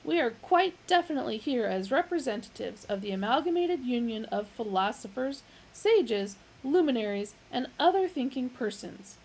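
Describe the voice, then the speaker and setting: A woman's voice: fairly high pitch, animated, moderate pace, hardly any pauses, slightly rough, voice pushed up, rough recording. A woman doing a blustering older male philosopher. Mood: hectoring. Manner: loud, hectoring, self-important.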